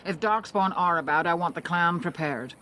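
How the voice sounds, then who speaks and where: A fantasy game's elven matriarch speaks with grave composure and formal authority. Her delivery is measured, and faint outdoor ambience sits behind the voice. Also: fairly low pitch, sharp tone, slight background noise. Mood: composed, grave. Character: grave, composed elven female clan elder and leader. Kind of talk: fantasy video game dialogue.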